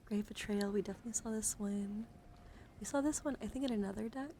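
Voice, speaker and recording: Soft-spoken ASMR woman with creaky voice. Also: mid-range voice, fast talker, slight background noise. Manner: soft-spoken, often dropping into a whisper, casual chatter. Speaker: live streamer hosting a trading card stream. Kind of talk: ASMR, casual card show-and-tell.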